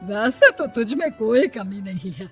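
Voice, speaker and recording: A woman voicing an exasperated, nagging middle-aged mother-in-law in an animated Hindi family comedy, scolding and complaining. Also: medium pitch, unhurried. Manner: scolding, complaining, sharp-tongued. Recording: music behind the voice.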